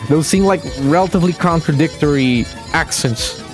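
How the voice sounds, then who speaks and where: A man's voice: on the high side, moderate pace, over music. A man giving casual live commentary on a game replay stream, chatting with viewers and laughing often.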